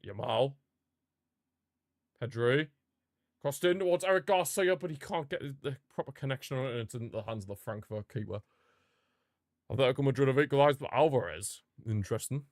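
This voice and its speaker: A man's voice: mid-range voice, fairly quick, pauses often, dry recording, studio quality. A man reacting live to a football match as a streamer, ranting and calling out to his chat. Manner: passionate, frustrated fan outbursts and rants; talks to live chat viewers.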